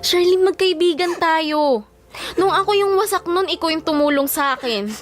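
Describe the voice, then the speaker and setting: A woman's voice: extremely high pitch, moderate pace. A woman playing an emotional, flustered young office worker with a Filipino accent in an audio drama. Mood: concerned, insistent. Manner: pleading, emotional, indignant.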